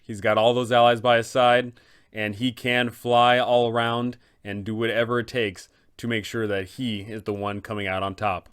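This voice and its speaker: A man's voice: mid-range voice, a bit bright, smooth. A man hosting a game master's guide, explaining in an enthusiastic, conversational way. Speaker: dungeon master and channel host.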